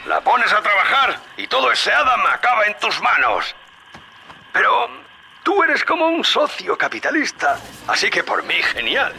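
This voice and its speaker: A man's voice: sits high, wildly swooping pitch, normal speed, gravelly, pushed and bright, a little hiss or hum, full character voice. An actor playing a sly, scheming middle-aged businessman in a dubbed video game, wheedling and folksy. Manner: wheedling, folksy, calls the listener friend and brother. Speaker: radio guide character in a dubbed video game.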